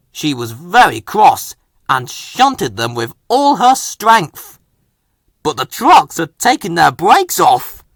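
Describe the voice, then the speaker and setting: A man reading a children's story aloud with dramatic, deliberate expression. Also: high-pitched, a bit bright, dry recording, clean studio sound. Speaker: storybook reader.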